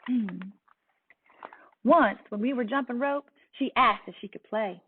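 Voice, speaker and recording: A woman with a Southern US accent giving an expressive storybook read-aloud as a teacher, telling it in a young girl's words with soft dips at phrase ends. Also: a little high, a little slow, a little hiss or hum. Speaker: teacher reading a picture book aloud. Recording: distant, tinny phone-like sound.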